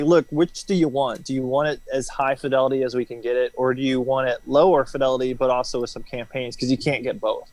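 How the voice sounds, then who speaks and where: A man's voice: medium pitch, no echo, low-quality recording. Casual man explaining, close dry sound. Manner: informal, explanatory, frequent "you know" and "right?". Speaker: interview guest, former military helicopter pilot. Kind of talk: casual interview conversation.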